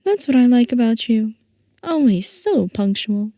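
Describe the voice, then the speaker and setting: A woman's voice: on the high side, sing-song, a little slow, mellow, no echo, clean studio sound. A woman playing a playful, theatrical mad-scientist villain boss in a roleplay audio, coy and mock-innocent as she teases. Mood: amused, teasing. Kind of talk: scripted roleplay audio, ASMR-style character acting.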